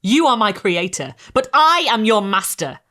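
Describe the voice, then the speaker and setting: A woman voicing a wretched, vengeful male creature who speaks in a low, smothered, threatening way. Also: sing-song, normal speed, pushed and bright.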